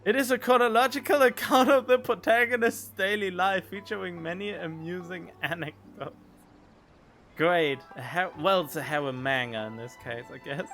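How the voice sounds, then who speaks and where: Male streamer, fairly high pitch, bright forceful tone, casual commentary, faint game music behind. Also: moderate pace. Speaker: gaming streamer. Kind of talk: casual game commentary, reading dialogue aloud. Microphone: close studio condenser microphone.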